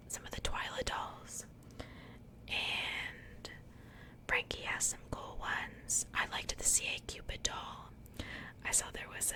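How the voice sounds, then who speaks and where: A woman speaking in a breathy, unvoiced whisper as an ASMR host, chatting casually and unhurriedly as she comments on an unboxing. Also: sharp tone.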